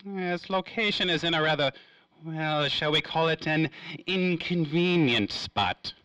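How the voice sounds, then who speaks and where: A man's voice: a little high, pushed and bright, no echo, dull audio. A man playing a suave, cagey, self-important tycoon in a 1930s-style radio drama, drawing out his words theatrically. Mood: smug, evasive. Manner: theatrical, drawn-out, evasive phrasing. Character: suave, cagey, self-important industrial magnate in a noir serial.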